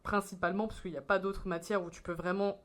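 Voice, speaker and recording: A woman's voice: mid-range voice, little pitch movement, fast. A woman in her twenties, rambling and swearing as she reacts to a video in casual slang. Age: 20s.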